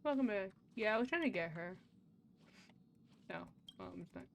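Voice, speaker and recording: A woman's voice: mid-range voice, expressive, moderate pace, gravelly, highs cut off, slight background noise. A young woman chatting casually as she streams a game, informal and rambling, given to swearing and complaint. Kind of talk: casual live-stream chat over gameplay.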